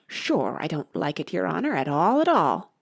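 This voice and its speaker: A woman's voice: sits low, very animated, moderate pace, creaky, no echo, studio quality, exaggerated voice. A woman voicing a deferential, plaintive male servant creature in a storybook. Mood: reluctant. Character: humble, reluctant Irish servant creature, male. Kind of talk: storybook character voice.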